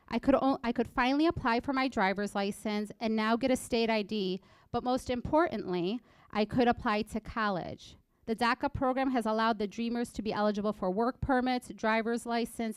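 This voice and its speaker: Young woman reading her story, crisp and clear. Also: on the high side, dry recording. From Egypt, raised in Illinois. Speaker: student speaker. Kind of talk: reading a prepared personal story. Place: college library event.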